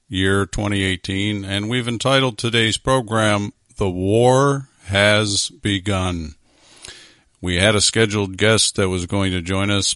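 A fairly low-pitched man hosts a radio talk show as a pastor, close to a studio microphone. His delivery is measured and unhurried, often stopping on filler sounds. The sound is dry, with no room echo. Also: fairly bright tone. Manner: measured, with many filler pauses.

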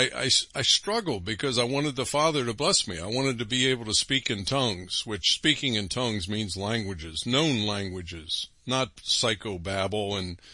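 A man's voice: on the low side, pushed and bright, no echo. A man hosting a religious broadcast, preaching emphatically with rhetorical questions and frequent asides. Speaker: radio broadcast host.

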